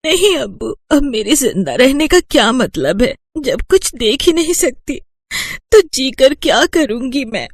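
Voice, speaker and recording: A woman playing a despairing village girl in a cartoon moral tale. Her high voice is tearful and slow, recorded close and dry. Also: sing-song, no echo, full character voice. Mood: hopeless. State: crying. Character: despairing young village daughter, tearful and hopeless. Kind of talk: animated Hindi moral story acting.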